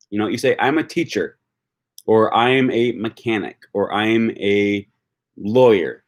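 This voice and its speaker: A man from Wisconsin with a Wisconsin accent, chatting casually on a livestream and answering viewer comments, thinking aloud with frequent fillers and restarts. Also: mid-range voice. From Wisconsin, USA. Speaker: language-learning YouTuber answering live chat.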